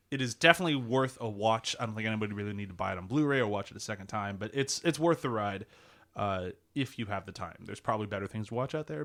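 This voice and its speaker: A man's voice: on the low side, fairly bright tone. A man hosting a casual movie podcast, rambling and laughing often. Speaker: podcast host. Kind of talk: casual chat.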